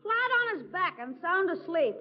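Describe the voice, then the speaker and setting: A woman's voice: extremely high pitch, exaggerated voice. A performer with a woman's voice playing a cheeky, wisecracking young nephew in a 1940s radio comedy. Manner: smart-alecky, slangy. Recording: muffled 1940s radio broadcast.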